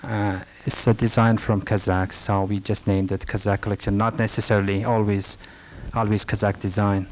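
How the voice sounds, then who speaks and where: A middle-aged Afghan man speaks in a low, level voice, stopping and restarting often as he answers questions from the audience. The talk sounds like an archival recording from a library meeting room, with a faint hiss underneath. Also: little pitch movement. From northern Afghanistan. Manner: halting, frequent restarts and fillers, soft-spoken. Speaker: rug merchant giving a talk.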